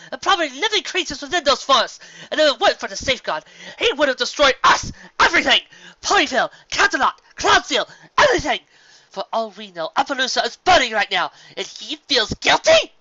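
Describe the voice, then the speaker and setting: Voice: sits high, pushed and bright, dry recording, muffled recording, voice pushed up, exaggerated voice. A reader voicing a younger pony princess, hot-tempered and anxious, who speaks with great emphasis. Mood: enraged, incredulous. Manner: stammering, emphatic outbursts.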